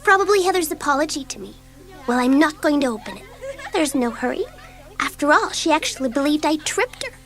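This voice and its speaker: A woman acting a mischievous, easily offended schoolgirl in a children's audiobook drama, expressive and dramatic. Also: sits high, brisk. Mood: sulky. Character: bored, mischievous schoolgirl, quick to take offence.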